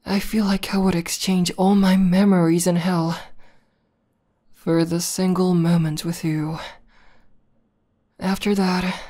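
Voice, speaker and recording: A woman performs a battle-hardened tomboy demoness in an intimate ASMR roleplay. Her voice is lowish and dark, with very little rise and fall. She speaks softly and breathily, halting often, like a devoted lover being earnest. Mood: tender, reflective. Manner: soft, breathy, halting and earnest delivery, often dropping to whispers.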